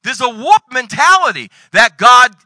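Male pastor, forties, high voice, dry close sound, dynamic sermon delivery. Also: very animated, measured pace, no room sound. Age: 40s. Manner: preacher's dynamic delivery with sudden loud bursts and Bible readings.

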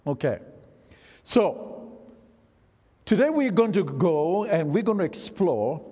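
A man's voice: a little high, darkish tone, slightly rough. A man, Isoko his tongue, preaching a sermon and engaging the congregation with questions. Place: church hall. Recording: reverberant hall.

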